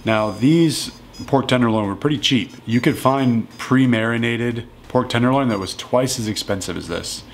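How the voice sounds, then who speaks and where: A man in his thirties casually walking through home meals in his kitchen, relaxed and matter-of-fact. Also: mid-range voice, moderate pace, slight background noise. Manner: relaxed and matter-of-fact, plain step-by-step talk. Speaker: strength coach cooking at home. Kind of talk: casual cooking walkthrough.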